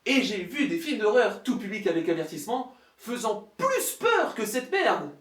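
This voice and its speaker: A man with a high, very lively voice recaps a film as a reviewer. He is sarcastic and exasperated, speaking colloquially. The recording is roomy and noisy. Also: moderate pace, far from the mic. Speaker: film reviewer, video host. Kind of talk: comedic film review commentary, recapping a plot.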